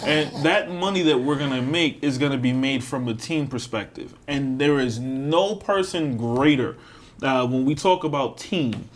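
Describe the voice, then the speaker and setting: A man's voice: mid-range voice, a little hiss or hum. A man hosting a casual podcast, talking in a slangy, emphatic way and repeating phrases as he addresses his guest. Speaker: podcast host interviewing his co-host. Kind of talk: casual podcast intro and interview.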